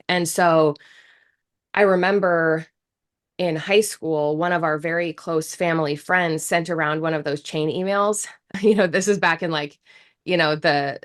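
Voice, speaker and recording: A woman in her thirties from the United States, a guest speaking earnestly and reflectively in an interview, with frequent restarts. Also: low-pitched. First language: English. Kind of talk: casual interview talk.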